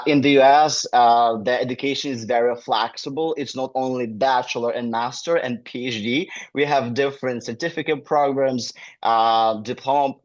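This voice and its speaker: Ukrainian-accented man on a dull call. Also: medium pitch. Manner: non-native phrasing with frequent fillers. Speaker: guest, admissions manager. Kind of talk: casual interview talk.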